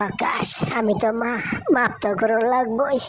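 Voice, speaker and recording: A woman's voice: on the high side, fairly even intonation, moderate pace, soft background music, close to an everyday voice. A woman playing a scolding, anguished village mother in a melodramatic cartoon, repeating her reproaches plaintively with a Bangladeshi accent. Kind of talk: melodramatic Bengali moral cartoon acting.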